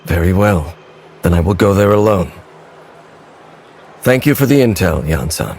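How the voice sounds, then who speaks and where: A man voicing a stern, aloof lone warrior in a video game, speaking in a formal, measured, terse manner. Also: low-pitched, lively intonation, darkish tone, a little hiss or hum. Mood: impatient, resolute. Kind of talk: dramatic video game character acting.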